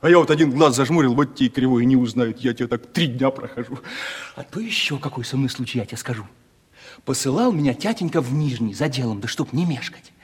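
An actor playing a browbeaten, gloomy yet boastful young merchant's son in a 1970s Russian radio play, with folksy provincial speech. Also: male voice, mid-range voice, animated, fast talker, breathy edge. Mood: excited, boastful. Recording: reverberant studio radio play recording.

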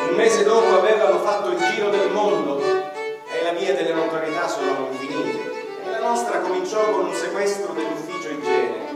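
An actor playing an embittered man who mourns his poisoned seaside town, speaking in a slow, solemn stage monologue. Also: high-pitched. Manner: slow, solemn declamation with dramatic pauses. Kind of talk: theatrical monologue. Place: theatre stage. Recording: distant, echoey stage recording in a hall. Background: violin music.

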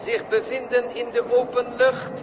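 A man's voice: extremely high pitch, level tone, normal speed. A man playing a stern, officious robot policeman, mechanical and formal, in a 1960s radio drama. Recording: dull, very noisy old radio with clamour behind.

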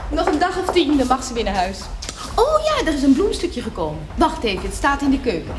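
High-voiced brisk nurse, roomy recording. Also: female voice, huge pitch swings, background noise. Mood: brisk, practical. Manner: matter-of-fact, polite. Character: brisk, practical hospital nurse. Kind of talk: radio drama dialogue.

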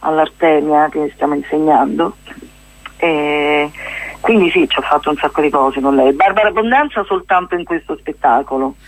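A woman, chatting as a playwright-actress guest in a casual radio interview, often halting and rephrasing herself. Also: low-pitched, no echo, rough recording. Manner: chatty, halting and self-correcting, with amused asides. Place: radio studio.

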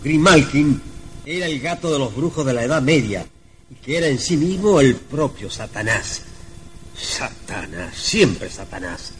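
An actor playing a suspicious, pondering police inspector in an old radio drama, with theatrical delivery. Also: male voice, medium pitch, big pitch swings, measured pace. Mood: brooding.

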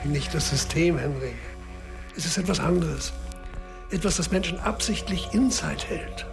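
An actor playing a driven, increasingly frantic aging police inspector in a radio crime drama. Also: male voice, fairly high pitch, a little slow, creaky, music in the background. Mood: intent, urgent.

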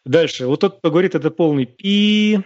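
A man's voice: lively intonation, moderate pace, no echo, muffled recording. Scornful, emphatic man lecturing on radio. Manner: emphatic and scornful, with sharp rises in pitch. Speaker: radio host answering listener questions. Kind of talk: live radio talk, lecturing.

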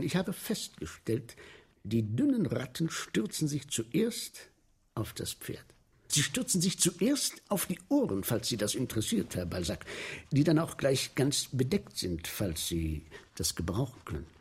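An actor in a radio play playing an obsequious, fervid sewer inspector who tells grisly tales with husky emphasis and deferential asides. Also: male voice, medium pitch, darkish tone, exaggerated voice. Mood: obsequious, insistent. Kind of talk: 1970s German radio play acting.

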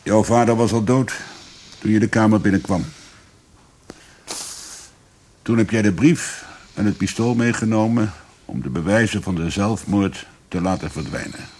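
An actor playing a grave, probing police investigator in a radio drama, speaking in a measured, deliberate way. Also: male voice, fairly low pitch, darkish tone, close to an everyday voice. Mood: pressing.

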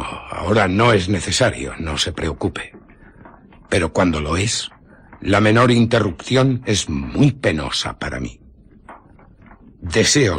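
An actor playing a secretive, bandaged scientist who demands solitude, speaking tersely and imperiously in for a radio drama. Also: male voice, deep voice, fairly bright tone, a little hiss or hum, exaggerated voice. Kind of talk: Spanish radio drama acting.

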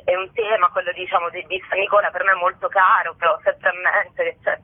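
A woman from southern Italy, a playwright and actress, talks as a radio guest in a rambling way, full of filler words and pauses to search for words. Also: fairly low pitch, flat delivery, slightly rough, no room sound. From Bari, southern Italy. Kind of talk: radio interview over the phone. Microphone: telephone. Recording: tinny phone line.

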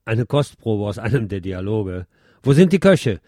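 A man presenting a scripted film review as a radio host, measured and articulate in his delivery. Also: fairly low pitch, very animated, very noisy.

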